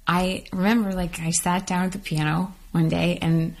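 Soft-voiced young woman with a creaky edge. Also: fairly low pitch, flat delivery, normal speed. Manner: thoughtful, hesitant, with fillers. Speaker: composer and doctoral student. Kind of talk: interview excerpt.

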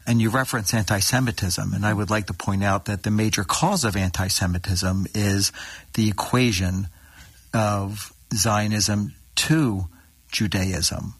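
A man's voice: lowish voice, fairly bright tone, breathy edge, no echo. A middle-aged or older man, an interview guest who speaks in a blunt, emphatic way. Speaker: orthopedic surgeon, interview guest.

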